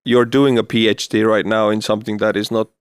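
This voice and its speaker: A man's voice: fairly low pitch, flat delivery, fast talker, smooth, no echo, clean studio sound. A man hosting a podcast interview, chatty and friendly, laughing often between questions. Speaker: podcast host. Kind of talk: casual interview conversation.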